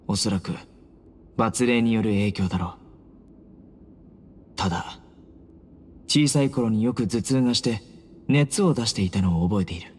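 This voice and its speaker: A man's voice: lowish voice, slight background noise, lightly acted. Solemn young warrior, voiced by a man. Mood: grave, reflective. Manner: measured, serious, formal and blunt. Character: solemn, resolute young male guardian warrior. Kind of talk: anime game voice acting.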